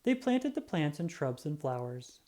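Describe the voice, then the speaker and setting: A woman reading a children's book aloud in an animated, expressive storytelling style. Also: low voice. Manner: expressive, drawn-out emphasis on sound words.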